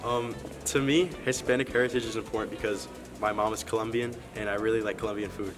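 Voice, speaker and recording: Fast-talking teen boy, low voice. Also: steady tone, over music. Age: teens. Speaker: interviewed student. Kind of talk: brief interview answers.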